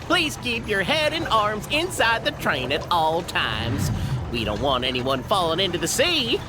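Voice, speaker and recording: A dutiful game train conductor, addresses passengers formally and politely. The intonation swings widely from line to line. Music is clearly heard behind the announcements. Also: female voice, fairly low pitch, sing-song, a little slow. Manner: formal, courteous, professional.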